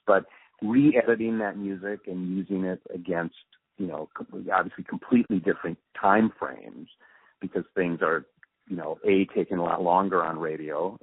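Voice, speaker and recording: Deep husky guy getting interviewed, explains techy stuff haltingly, dry phone-line audio. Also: no echo. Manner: halting, thinking aloud as he explains technical work. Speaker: interviewee, radio drama post-production supervisor. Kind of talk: reflective interview answers. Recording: muffled narrow phone-like line.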